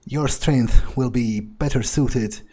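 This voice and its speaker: Man roleplaying a slow-talking, arrogant vampire. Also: medium pitch, warm tone. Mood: smug menace. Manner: drawling, halting, smug, contemptuous. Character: arrogant, menacing, soul-hungry male vampire predator. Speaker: game player roleplaying. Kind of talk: improvised in-character game roleplay.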